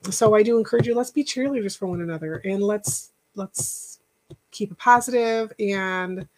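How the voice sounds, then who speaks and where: A woman hosting a casual live chat, rambling and encouraging, thanking viewers by name, with a stuffy nose and a voice that is going hoarse. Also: medium pitch, moderate pace, darkish tone, low-quality recording. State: losing her voice. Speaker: podcast host.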